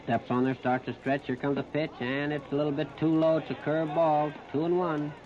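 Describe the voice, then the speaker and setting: An American man calling a baseball game live as a 1930s radio play-by-play announcer, narrating each moment in short, clipped phrases with pauses. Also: a little high, level tone, crowd noise. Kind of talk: 1939 live radio sports commentary. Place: outdoor ballpark, broadcast position behind home plate. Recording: muffled, noisy 1930s radio disc recording.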